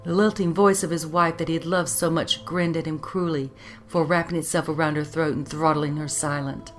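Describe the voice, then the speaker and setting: Deep-toned woman narrator with faint music. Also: fairly even intonation, normal speed. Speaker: storyteller. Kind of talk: dramatic scripted story narration. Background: instrumental music.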